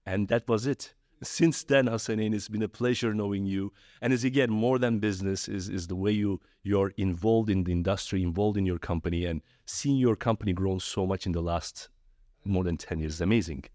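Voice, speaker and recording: A middle-aged man from Turkey, a guest in a podcast interview, telling stories in a friendly, animated way with frequent restarts. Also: on the low side, brisk, no echo, clean studio sound. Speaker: guest, trade fair executive. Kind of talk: conversational interview.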